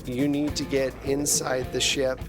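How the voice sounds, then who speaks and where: A man's voice: medium pitch, little pitch movement, a little slow, music in the background. A man running a tabletop role-playing game as game master, casually narrating events and explaining rules in a noisy recording.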